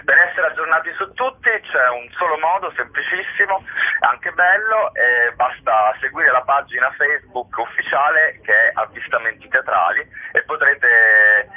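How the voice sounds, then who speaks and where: A man's voice: mid-range voice, animated, moderate pace. A man answering interview questions as a theatre festival's artistic director, explaining things in an articulate, conversational way. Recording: narrow band, phone-like radio sound.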